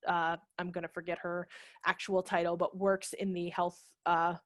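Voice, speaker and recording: A woman's voice: fairly low pitch, little pitch movement, moderate pace, no room sound. A woman in her thirties explaining informally as a guest speaker on a video call, through a headset microphone. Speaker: guest speaker, theatre managing director. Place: room at home.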